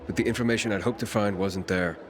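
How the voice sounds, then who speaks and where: An actor playing a weary, determined wanderer who is the hero of a video game, speaking tersely and guardedly. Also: male voice, on the low side, steady tone, quick pace, natural voice. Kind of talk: video game cutscene acting.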